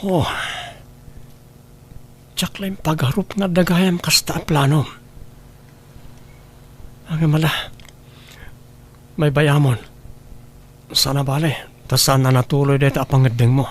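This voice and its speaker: A man voicing a patient, loving husband in an audio drama, speaking calmly and reassuringly. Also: a little high, slow, long pauses.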